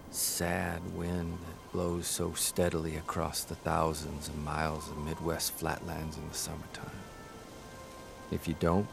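An actor playing a wistful writer in an audio drama, reading in a measured, contemplative way. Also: male voice, deep voice, creaky. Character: wistful writer son, reflective Midwestern farm boy grown up. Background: music.

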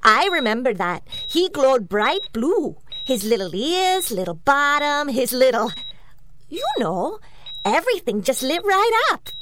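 A woman's voice: high-pitched, sing-song, unhurried, fairly bright tone, slight background noise, heavily acted. A woman playing a chatty, homely small-town nurse in a comedic audio drama mock interview, dropping little agreeing murmurs. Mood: fond, reminiscing. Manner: homely, punctuated with agreeing uh-huhs.

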